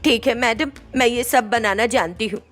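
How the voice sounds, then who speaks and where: A woman's voice: fairly high pitch, normal speed, a bit bright, soft background music, close to an everyday voice. A woman voicing an obliging female hired cook in a storybook tale, polite and matter-of-fact.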